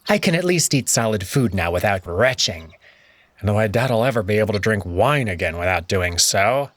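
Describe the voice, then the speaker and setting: A man's voice: on the low side, animated, normal speed, a bit bright, dry recording. A man voicing a haughty, sour male wolf noble who speaks in a clipped, condescending way for a voiced visual novel.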